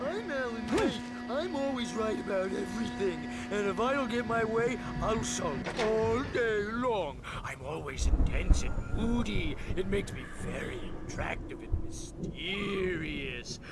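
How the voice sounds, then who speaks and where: A man's voice: high-pitched, expressive, a little slow, few pauses, pitched below the performer's own voice. A man doing a mocking impression of a sulky, moody, self-important male writer in a video game, pompous and exaggerated. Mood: mock self-importance. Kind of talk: comic impression within a game scene. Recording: loud music over the voice.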